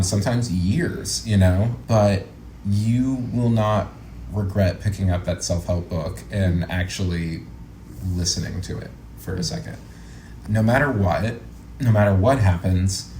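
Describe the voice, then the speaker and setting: Low-voiced young man in a roomy space. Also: slow pace, dark tone. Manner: earnest, jokey, encouraging. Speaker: guest, actor and producer. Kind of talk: casual interview chat. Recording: roomy echo, faint background noise.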